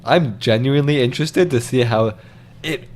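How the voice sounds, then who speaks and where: A man's voice: mid-range voice, normal speed, darkish tone, slight background noise. A man chatting casually as a co-host in a movie discussion. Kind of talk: casual chat.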